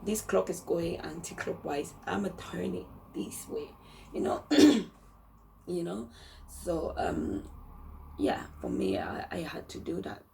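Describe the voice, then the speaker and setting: Slow, croaky-voiced woman talking softly. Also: on the low side, wildly swooping pitch, recorded from a distance, a little hiss or hum, poor audio. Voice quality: croaky, gravelly voice. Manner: slow, thoughtful, often drops to a soft whisper. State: hoarse after long studio hours. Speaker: vlogger sharing a personal story. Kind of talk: reflective personal talk to camera.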